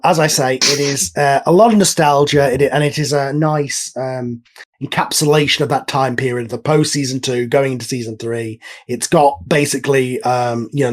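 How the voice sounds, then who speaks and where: Man, medium pitch, commentary host, casual chat, informal, slightly hesitant. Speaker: host and writer of an audio drama.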